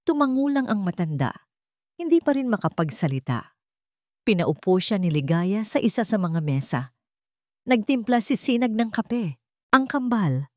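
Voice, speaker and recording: A woman reading a scripted dramatic story as narrator, with measured, deliberate phrasing. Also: medium pitch, big pitch swings, no echo, clean studio sound.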